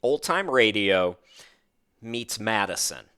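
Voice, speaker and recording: A man's voice: on the low side, sing-song, slow pace, sharp tone, smooth. A man hosting a podcast in a casual, chatty interview style, with a self-deprecating, rambling manner. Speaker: podcast host.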